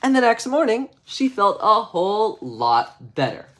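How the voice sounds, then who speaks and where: Man reading a bedtime story, very animated. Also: high-pitched, a little slow. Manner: expressive, chatty, ad-libbing around the text. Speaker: storybook reader. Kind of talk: animated bedtime story narration with casual asides.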